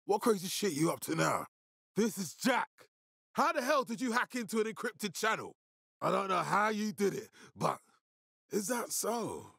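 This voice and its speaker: An actor playing a stern, resolute, fatherly militia leader in a video game, speaking with measured, deliberate authority in a husky voice. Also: high-pitched, very animated, bright, forceful tone, no room sound, studio quality, full character voice. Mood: annoyed.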